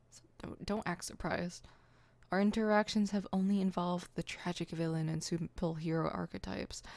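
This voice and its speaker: Woman acting a low, creaky, exasperated supervillain. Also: a little mellow, no echo. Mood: dry, matter-of-fact. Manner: indignant, wordy, dry, slightly stammering. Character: exasperated supervillain, proud and secretly caring. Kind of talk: ASMR roleplay acting.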